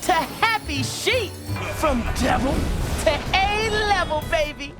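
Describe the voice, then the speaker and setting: A man voicing a sunny, excitable teenage turtle in a cartoon, upbeat and coaxing, with theatrical flourishes. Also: very high-pitched, takes it slowly, loud music behind the voice, exaggerated voice. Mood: upbeat, persuasive. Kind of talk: animated cartoon voice acting.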